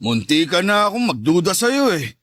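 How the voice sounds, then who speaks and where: A man's voice: high-pitched, very animated, normal speed, a bit bright, dry recording, clean studio sound. A man playing a mocking, domineering macho ex-husband in a radio drama, brash and taunting. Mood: mocking, needling. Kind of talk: Tagalog radio drama acting.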